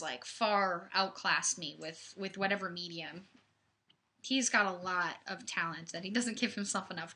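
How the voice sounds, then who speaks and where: A woman's voice: medium pitch, pushed and bright. A woman in her twenties chatting casually to viewers on a webcam livestream from home, rambling with lots of fillers and restarts. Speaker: livestream host. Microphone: webcam microphone.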